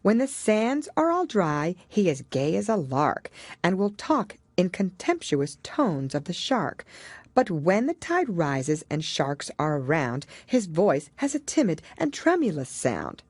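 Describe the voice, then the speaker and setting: A woman's voice: on the low side, big pitch swings, unhurried, darkish tone, no echo, heavily acted. A woman voicing a timid, puzzled young girl in an audiobook, polite and careful as she speaks. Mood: reciting. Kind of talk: audiobook character voice.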